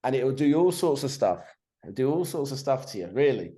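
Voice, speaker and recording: Man, forties, podcast guest, sweary, animated, keeps asking "right?", video call. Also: medium pitch, a little fast. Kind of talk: casual conversation.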